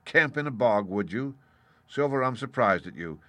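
A man voicing a brisk, educated gentleman doctor with a breezy, dryly mocking manner in an audiobook. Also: medium pitch, lively intonation, no echo, voice pushed up, close to an everyday voice. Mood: scolding. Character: brisk, cheerful, scolding gentleman ship's doctor.

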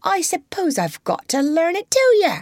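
A woman's voice: big pitch swings, normal speed, darkish tone, no echo, clean studio sound. Woman voicing a bossy proud country mother. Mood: despairing. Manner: scolding, high-pitched and emphatic. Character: bossy proud poor mother, rustic speech.

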